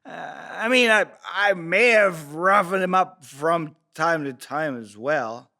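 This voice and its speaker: A man playing a crude, bitter, drunken father making excuses in a halting, rambling table-read monologue. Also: a little high, lively intonation, vocal fry, bright, forceful tone, heavily acted. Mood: defensive, bitter. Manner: slurred, halting drunken delivery with rambling asides.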